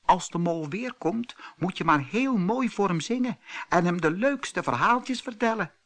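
A man's voice: high-pitched, animated, measured pace, a bit bright, pitched above the performer's own voice, exaggerated voice. A man voicing a motherly, bossy female field mouse in a fairy tale, coaxing and matter-of-fact. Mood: kindly coaxing.

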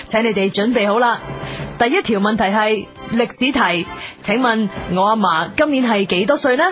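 A woman playing a formal, brisk and absurdly biased school quiz host in a comedy radio sketch, with a Hong Kong Cantonese accent. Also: a little high, close to an everyday voice. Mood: formal, welcoming. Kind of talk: comedy radio sketch as game show hosting. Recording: music behind the voice.

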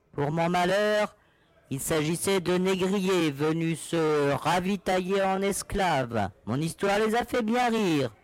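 A man's voice: sits high, rough recording. A man playing an agitated, plaintive galactic smuggler and exiled captain in an audio drama. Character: hysterical red-haired galactic smuggler, exiled trader captain. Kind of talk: French audio drama acting.

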